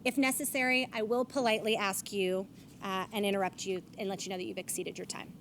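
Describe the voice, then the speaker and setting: A woman's voice: medium pitch, steady tone, fast, a bit bright, a little hiss or hum. A woman, moderating a candidates forum on stage and reading rules and statements aloud. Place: event stage.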